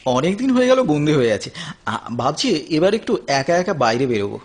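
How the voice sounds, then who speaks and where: Actor as husky, high-voiced emotional man. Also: big pitch swings. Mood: subdued, uneasy. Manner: dramatic, pausing, poetic delivery. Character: emotional man recovering from drug addiction, tender lover. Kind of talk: Bengali audio play acting.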